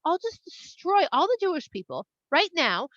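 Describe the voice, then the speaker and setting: A woman plays God in a children's story, fed up and commanding. The voice is very high and the wording casual and emphatic. The sound is dry and slightly dull. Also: very animated, normal speed, fairly bright tone, dry recording, heavily acted. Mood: stern, decisive. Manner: colloquial, emphatic, exasperated.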